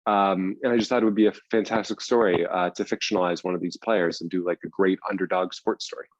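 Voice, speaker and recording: A man's voice: deep voice, steady tone, fast, dry recording, dull audio. A man answering as an interview guest in a conversational, explanatory way, stressing points by repeating them. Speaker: guest, screenwriter and audio drama producer.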